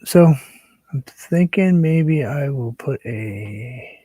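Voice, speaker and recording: A man hosting a painting livestream, chatting casually and relaxed with viewers, sometimes dropping to a soft whisper as he works. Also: mid-range voice, drawn-out words, breathy edge, no room sound. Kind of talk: casual chat while painting.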